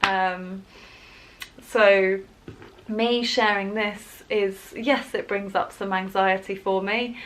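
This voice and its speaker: A woman's voice: mid-range voice, a bit bright. A woman talking casually to camera in a rambling vlog, laughing often as she goes. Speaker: wellbeing vlogger. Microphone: camera in front, leaning closer at times.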